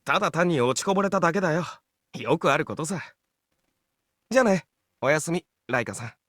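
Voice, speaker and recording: A flippant, down-and-out young detective in a Japanese visual novel. His highish voice swings widely and moves quickly, mocking himself as it goes. Loud music plays underneath. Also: lively intonation, no room sound, clean studio sound. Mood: glib, dismissive. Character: glib, self-deprecating down-and-out young male private investigator.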